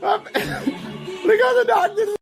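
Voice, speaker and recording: Shouting, singing man over loud music. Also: very high voice, animated, slow, creaky. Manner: chanting, repetitive shouting and singing. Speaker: streamer in a played clip. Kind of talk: shouted, sung livestream antics. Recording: livestream clip with loud music.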